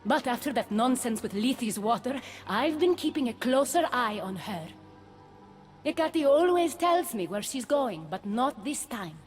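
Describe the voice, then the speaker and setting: A high-voiced, imperious goddess queen. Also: very animated, a little slow. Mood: brooding. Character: imperious, suspicious goddess queen of a mythic paradise. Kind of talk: video game voice acting. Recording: faint music behind the voice.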